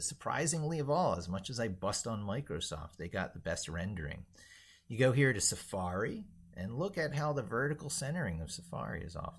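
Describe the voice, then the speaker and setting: A man's voice: fairly low pitch, a bit bright. A man hosting a casual live screen-share stream, rambling and thinking aloud as he demonstrates things into a microphone. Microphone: speaking into a microphone.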